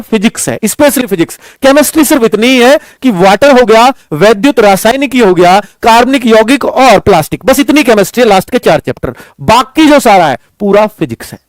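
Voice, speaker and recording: A man's voice: sits high, dry recording. A man giving an informal coaching talk, explaining things to students and checking in with them often. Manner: explanatory, addresses students as children, frequent check-in phrases. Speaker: coaching teacher and channel host announcing a class.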